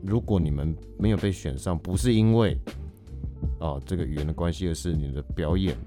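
A man's voice: low-pitched, fairly quick, over music. A man with a Taiwanese Mandarin accent, talking casually as a podcast guest and explaining his views through examples. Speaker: guest, actor.

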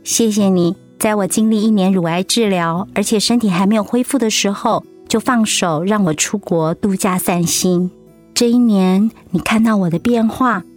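An actress plays a sincere middle-aged woman, a loving wife and loyal friend in a radio play. Her mid-range voice is soft and measured. Faint music sits under the speech. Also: medium pitch, close to an everyday voice. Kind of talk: radio drama acting. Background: background music.

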